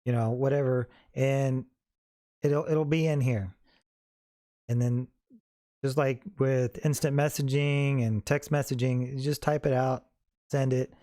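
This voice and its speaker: Casual man with a mellow, dry sound. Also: mid-range voice, fairly even intonation, unhurried, pauses often, no echo. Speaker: host of a how-to tutorial. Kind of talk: casual, unscripted software tutorial.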